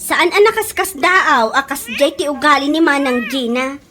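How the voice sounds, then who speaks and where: A woman's voice: sits high, moderate pace, sharp tone, faint music behind. A woman with an Ilocano Filipino accent voicing a defiant, spirited young daughter in a comedy radio drama. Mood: indignant. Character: feisty, defiant young daughter studying caregiving.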